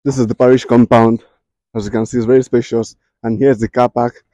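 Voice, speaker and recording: A young man with a Nigerian accent guides viewers around outdoors, talking straight to them in an upbeat way. His voice is very dark and mellow at a mid pitch. The sound is dry and a little dull. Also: level tone, a little fast, dry recording. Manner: upbeat, addresses viewers directly. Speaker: real estate video host. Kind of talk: walking tour presentation. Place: outdoors in a church compound.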